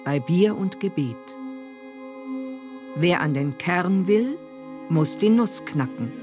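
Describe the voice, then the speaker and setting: A terse, cryptic radio drama narrator. She delivers clipped scene-setting phrases slowly in a lowish voice over clear music. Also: animated. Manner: terse, deliberate.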